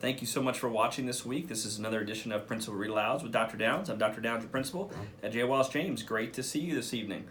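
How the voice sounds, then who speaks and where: A man, a school principal, reading a picture book aloud for children in an expressive way, with casual asides. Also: mid-range voice, rapid-fire, a little hiss or hum. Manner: expressive, addresses children directly.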